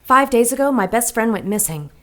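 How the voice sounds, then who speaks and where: A woman's voice: fairly high pitch, animated, fairly quick, natural voice. An actress playing an earnest woman narrating her search in a 1940s pulp audio drama. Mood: earnest, uneasy.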